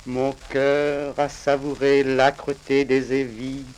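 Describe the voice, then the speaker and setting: A man from Reunion Island reciting his own poems in a solemn, measured, declamatory way with deliberate pauses, on a crackly vinyl record. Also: medium pitch, level tone, a little mellow. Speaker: poet reading own work.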